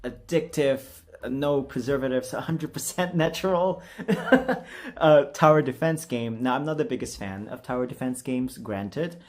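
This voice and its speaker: A man's voice: mid-range voice, unhurried, darkish tone. A man chatting casually as a video creator, rambling in a jokey, self-deprecating way. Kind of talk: casual rambling vlog chat.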